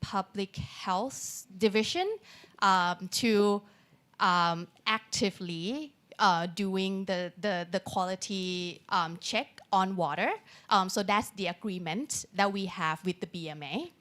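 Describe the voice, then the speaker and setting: A woman panel speaker giving an unscripted answer in English as a second language, pausing often to search for words. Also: mid-range voice, fairly bright tone. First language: not English.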